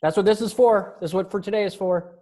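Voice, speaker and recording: A woman's voice: mid-range voice, fast, mellow. A woman casually hosting and narrating a virtual readers theater event.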